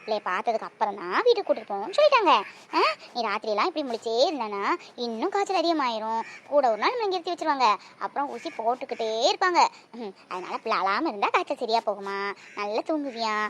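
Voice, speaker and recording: A woman's voice: fairly high pitch, very animated, fast talker, slight background noise. A woman playing a young mother who fiercely guards her child. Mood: soothing. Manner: coaxing to her child, sharp when confronted. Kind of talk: Tamil drama acting.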